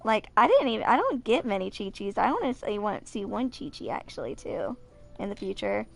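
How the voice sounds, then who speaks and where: A woman in her twenties giving casual, rambling gameplay commentary, sometimes halting over her words. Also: mid-range voice, big pitch swings, creaky, faint music behind. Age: 20s. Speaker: video creator.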